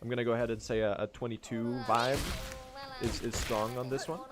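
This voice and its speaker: A late-teen man from Sweden, a native Swedish speaker, chatting casually on a gaming livestream in a rambling, joking way with sudden excited outbursts. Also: mid-range voice, fairly quick, music in the background. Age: late teens. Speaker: streamer.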